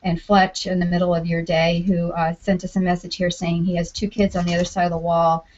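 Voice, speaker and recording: A woman's voice: fairly low pitch, flat delivery, fairly quick, darkish tone, slight background noise. A woman hosting a casual group video chat, chatty and self-joking, talking over a call mic. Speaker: podcast network host. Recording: dull lo-fi online call audio.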